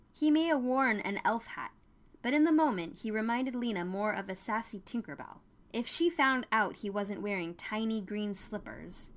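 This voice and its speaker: A woman serves as narrator of an audio story. Her voice is medium in pitch and she reads scripted prose. Music plays underneath her.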